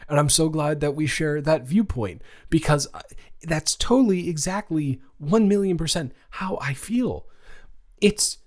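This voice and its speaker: A man's voice: medium pitch, lightly acted. A young man acting a sweet, flustered college dormmate in a romantic roleplay audio, rambling and stammering in a casual, nervously earnest way. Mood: flustered, tender. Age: young adult.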